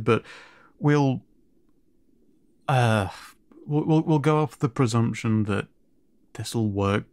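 A man playing a kind, awkward siren merman in an audio roleplay, speaking to the listener in a hesitant, stammering, gently encouraging way. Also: on the low side, no room sound. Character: kind, awkward male siren merfolk guiding a newcomer. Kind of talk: intimate audio roleplay drama, addressed to the listener.

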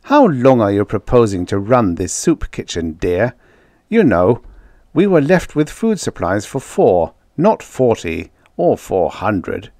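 A man's voice: lowish voice, wildly swooping pitch, slow pace, warm tone, dry recording, clean studio sound, heavily acted. A man voicing skeptical, exasperated men of a space expedition in a story, questioning and grudging.

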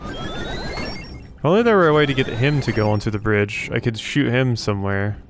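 A man's voice: mid-range voice, huge pitch swings, moderate pace. A man giving casual let's play commentary, thinking aloud as he plays. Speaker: gaming video host. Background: game music.

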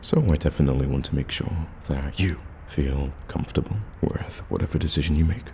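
A man playing a sly, courteous warlock shopkeeper in an ASMR fantasy roleplay, addressing the listener with teasing, soft-spoken flattery. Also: rumbling low, fairly quick, vocal fry. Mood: silky, reassuring. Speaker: voice actor in an audio roleplay. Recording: cavernous reverb, ambient background noise.

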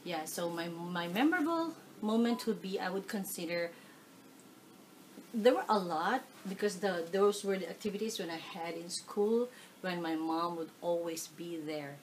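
A middle-aged woman from the Philippines with a Filipino accent, answering oral history questions in a living room, animated, with many fillers. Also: on the low side, normal speed, slight background noise, low-quality recording. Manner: animated, gestures while talking, frequent fillers. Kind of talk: casual oral history interview. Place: living room, seated on a leather sofa.

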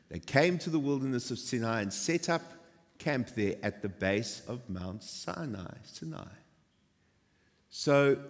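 A man in his forties delivers a sermon on a lit church stage at a middle pitch. He tells stories with playful, self-deprecating asides. His voice carries a long reverb from the hall. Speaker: pastor.

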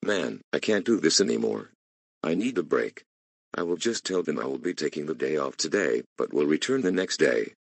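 A man's voice: very deep voice, expressive, moderate pace, gravelly, husky, no echo, heavily acted. A man voicing a stressed, defiant employee who whines and complains. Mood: weary. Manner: whining, complaining. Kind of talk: amateur voice acting.

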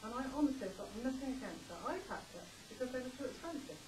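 A woman's voice: high voice, very animated, rapid-fire. A woman in an audience giving her opinions casually at a live event. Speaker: audience member. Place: audience seats in arts centre. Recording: distant, echoey, noisy hall recording.

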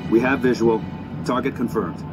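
An actor playing a dutiful, resolute lieutenant in a military game, answering orders in clipped phrases. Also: male voice, medium pitch, natural voice, low-quality recording. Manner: brisk, clipped, obedient. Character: dutiful, resolute male space fighter lieutenant. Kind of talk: scripted military radio dialogue. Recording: loud music over game dialogue.

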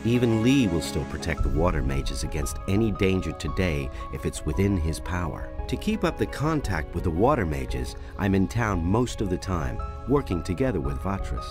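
An actor playing a wry, seasoned rogue who talks in a familiar, explanatory way in a video game. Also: male voice, low voice, sing-song, moderate pace, hardly any pauses, music in the background. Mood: explaining. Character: wry, seasoned rogue, old comrade and guide.

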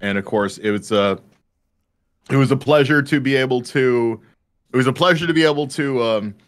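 A man hosting a casual panel, talking haltingly and often repeating his phrases. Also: medium pitch. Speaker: panel host.

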